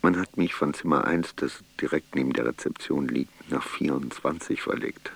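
A man's voice: extremely low pitch, normal speed, creaky, fairly bright tone, husky, heavily acted. An actor playing a feverish, weary man in an introspective first-person monologue in a radio play. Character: sick, feverish man brooding over his notes.

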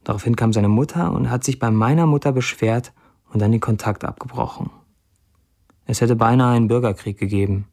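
An actor plays a wry young man with a middle-pitched voice. He delivers a blunt, dry first-person monologue in a matter-of-fact way, as in a radio play. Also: brisk, mellow, close to an everyday voice. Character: wry 29-year-old Syrian man narrating his blunt online posts.

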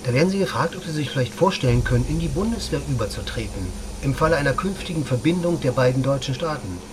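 An actor playing a brusque, sardonic army officer and pilot in a radio crime drama, with a dry, challenging way of talking. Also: male voice, medium pitch, animated, quick pace, close to an everyday voice. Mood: sardonic, explaining. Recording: loud vehicle engine noise around the voice.

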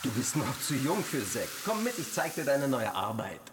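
An actor playing a formal senior research colleague in a German radio drama. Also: male voice, medium pitch, big pitch swings, normal speed, background noise, close to an everyday voice. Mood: courteous, firm.

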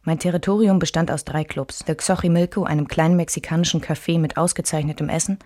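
A woman acting as a self-assured member of the Beat circle, recalling her past in measured, intimate radio play narration. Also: deep voice, fairly even intonation, dark tone, no room sound, studio quality. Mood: reflective.

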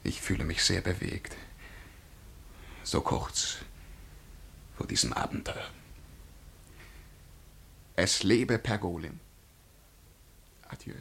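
Pompous, imperious time traveller, low voice. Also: male voice, sing-song, slow, vocal fry, long pauses, sharp tone, heavily acted. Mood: solemn. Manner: pompous, declamatory, commanding. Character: haughty, menacing male time traveller from a vain empire. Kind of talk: German radio drama acting.

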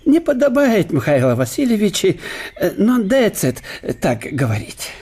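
A jolly german professor in a radio play, high husky voice, swings up and down a lot. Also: male voice, huge pitch swings, a little slow, a little mellow, full character voice. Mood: confiding. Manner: chuckling, chatty and ingratiating. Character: cheerful obliging German professor, middle-aged man. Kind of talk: radio drama acting.

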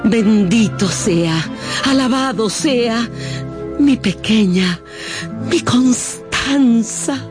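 A woman's voice: on the high side, extremely slow. An actress playing an overwhelmed noble mother in a radio drama, breathless, fervent and full of emotion. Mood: overjoyed, tearful. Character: overwhelmed noblewoman, mother of a long-lost daughter. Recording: prominent music behind.

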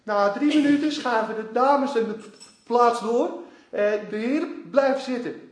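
A man's voice: extremely high pitch, a little slow. A man acting in a Dutch radio drama as a fussy master of ceremonies, making formal, florid announcements in an echoing room. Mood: ceremonious.

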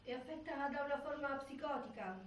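A woman's voice: high voice, fairly quick. A woman reading scripted narration for documentary theatre in an echoey hall. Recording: echoey hall, distant, faint noise.

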